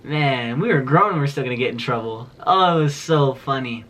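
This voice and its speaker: A man's voice: medium pitch, lively intonation, fairly quick, fairly bright tone. A man in his twenties telling a childhood story in a casual vlog, rambling with lots of fillers and laughing as he goes. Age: 20s. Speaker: vlogger.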